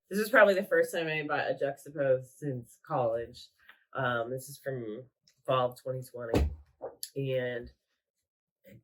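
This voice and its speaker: A woman's voice: rumbling low, rough recording. A woman with a nasal voice who is sick and congested, chatting casually in a rambling, self-correcting way while showing her craft projects at home. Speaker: craft vlogger. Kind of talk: casual show-and-tell vlog.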